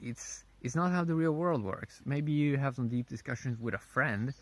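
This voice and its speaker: A man giving a casual, unscripted opinion monologue in a rambling, halting way, often repeating his phrases. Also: medium pitch. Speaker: vlogger.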